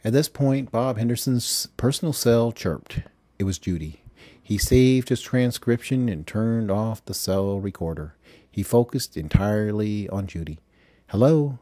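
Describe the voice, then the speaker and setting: A man's voice: on the low side, a little slow, mellow, no echo. A man reading scripted fiction as an audiobook narrator.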